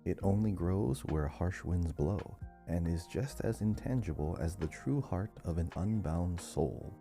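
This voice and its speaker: A man co-hosting a casual gaming quiz podcast, reading questions out in a measured, deliberate way. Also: sits low, lively intonation, creaky, warm tone, faint music behind. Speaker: podcast co-host and quiz reader. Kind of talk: casual video game lore quiz podcast.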